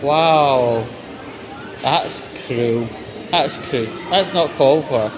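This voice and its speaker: A man's voice: mid-range voice, drawn-out words, highs cut off, a crowd in the background. A man with a Scottish accent giving a blunt, sweary, casual vlog monologue while out walking. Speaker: video blogger, host. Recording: noisy outdoor walking recording, constant background noise.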